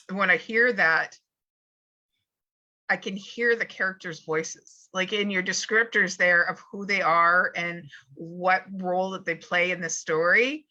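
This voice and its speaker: A woman's voice: medium pitch, sharp tone, muffled recording. A woman hosting a casual interview, reacting effusively and expressively. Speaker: interview host.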